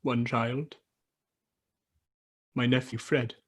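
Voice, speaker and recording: A woman playing a regretful, easily moved old man in a radio play, with a husky, breathy voice and halting, dramatic delivery. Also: a bass voice, steady tone, heavily acted. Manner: halting, dramatic, swinging between exclamation and hush.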